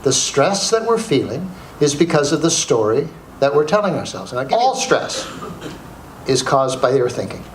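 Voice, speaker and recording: A middle-aged Canadian man giving a humorous motivational keynote as an animated storyteller, repeating phrases for emphasis. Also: mid-range voice, normal speed. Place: indoor speaking venue with audience. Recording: roomy live hall, faint background noise.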